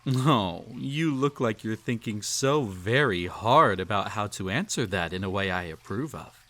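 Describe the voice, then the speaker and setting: A man's voice: fairly low pitch, animated, unhurried, bright, forceful tone, heavily acted. A man playing a cruel, possessive emperor in a roleplay audio drama, speaking in a formal, pompous, teasing and condescending way. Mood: teasing, amused. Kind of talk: scripted roleplay audio drama, intimate address to listener.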